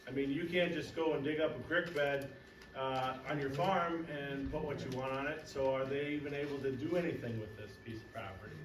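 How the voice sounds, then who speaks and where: Loud man projecting a question. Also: medium pitch, a little fast, noisy background. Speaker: local resident asking a question. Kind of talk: public question at a meeting. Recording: echoey room, distant mic.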